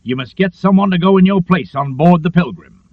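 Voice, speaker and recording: A man's voice: high voice, fairly quick, dry recording. A man voicing an abrupt, domineering merchant sea captain in an audiobook, with curt, commanding delivery. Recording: narrow phone-like lo-fi sound.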